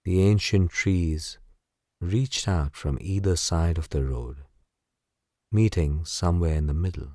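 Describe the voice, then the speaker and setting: A man narrating a bedtime story as guided sleep meditation in a soothing, gentle, unhurried way. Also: low-pitched, no room sound, clean studio sound.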